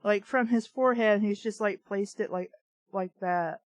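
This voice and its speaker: A woman's voice: medium pitch, moderate pace, no room sound. A woman reading fiction aloud and breaking in with sarcastic, irreverent, exasperated commentary. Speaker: book review host.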